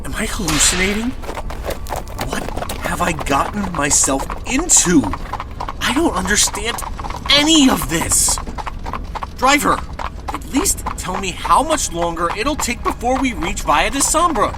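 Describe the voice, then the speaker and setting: Frantic, high-voiced male horror-drama passenger. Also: big pitch swings, unhurried. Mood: bewildered, despairing. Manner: agitated, pleading and demanding. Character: terrified, indignant male carriage passenger in horror tale. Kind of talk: audio drama acting. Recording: loud background noise and effects.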